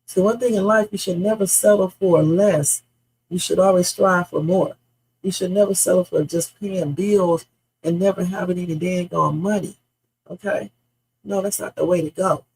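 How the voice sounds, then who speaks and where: A woman in her fifties talks off the cuff on a livestream with a gravelly edge and a husky, rough texture. She is blunt and salty and drifts from story to story before landing on advice. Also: fairly low pitch, steady tone, normal speed, a little mellow, low-quality recording. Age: 50s. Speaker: livestream host. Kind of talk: rambling casual livestream chat.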